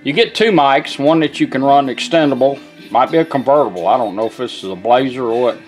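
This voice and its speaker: A man giving a casual, folksy, step-by-step product demonstration in an informal way. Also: mid-range voice. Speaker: vintage car radio restorer demonstrating. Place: indoor workshop bench.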